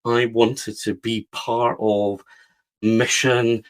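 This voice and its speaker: Guest: earnest Scotsman, middle-aged, West of Scotland accent, mid-pitched, restarts mid-thought. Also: flat delivery, very slow. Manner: earnest, repeats words as he restarts. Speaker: guest, publisher. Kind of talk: reflective storytelling interview.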